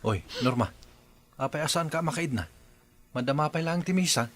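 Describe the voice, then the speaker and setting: A man's voice: a little high, lively intonation, moderate pace. A man acting an easygoing, reasonable village husband in an Ilocano radio drama, mild and plain-spoken. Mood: puzzled, chiding.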